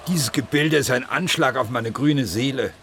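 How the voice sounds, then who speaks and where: An actor playing a blunt, emotional, stubborn gardener in a radio drama, with an emphatic, declamatory way of talking. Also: male voice, medium pitch, normal speed, a bit bright, lightly acted. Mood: indecisive, indignant. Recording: rain noise behind the voice.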